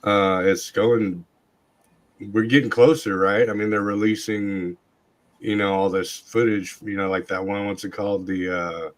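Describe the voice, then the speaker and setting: A man hosting a podcast in casual chat, his voice hoarse and scratchy from a long night of talking. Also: on the low side, level tone, unhurried. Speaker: podcast host.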